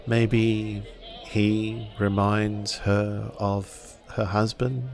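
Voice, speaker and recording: A man's voice: lowish voice, level tone, extremely slow, gravelly, faint music behind, heavily acted. A man roleplaying a thoughtful, observant traveller in a samurai tabletop game, musing aloud in a hesitant, improvised way. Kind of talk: improvised in-character dialogue.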